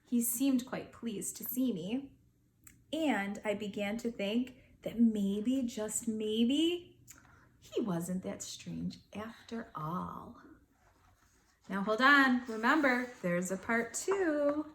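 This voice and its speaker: Woman, higher-pitched voice, very unhurried, children's read-aloud, expressive storyteller. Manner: animated storytelling with dramatic pauses and hushes. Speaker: school counselor reading to students.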